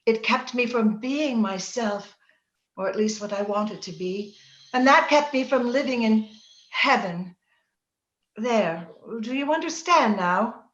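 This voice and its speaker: A woman playing a passionate, troubled young gentlewoman in a radio drama, with an emotional, dramatic delivery. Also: a little high, lively intonation, takes it slowly. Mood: earnest, haunted. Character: Cathy, passionate, troubled young gentlewoman, now Edgar's wife. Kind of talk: old-time radio drama acting. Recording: roomy, dull video-call audio.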